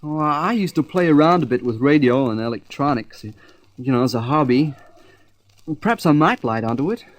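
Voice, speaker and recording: A man's voice: medium pitch, expressive, moderate pace, close to an everyday voice. An actor playing an impatient, hot-headed spaceman in an old-fashioned radio drama, with a brusque, slangy way of talking. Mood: practical, matter-of-fact.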